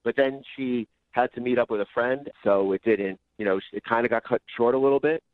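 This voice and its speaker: A man's voice: medium pitch, brisk, no room sound. A man chatting casually over the phone to a radio show, earnest, defensive and rambling. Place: phone call. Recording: tinny narrow phone line.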